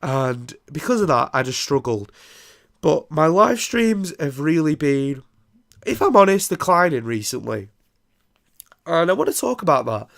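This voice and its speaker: A man's voice: fairly high pitch, big pitch swings, measured pace, gravelly, a bit bright. A young man, congested and nasal from a throat infection, hosting a casual solo podcast and rambling with many ums and restarts. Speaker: podcast host.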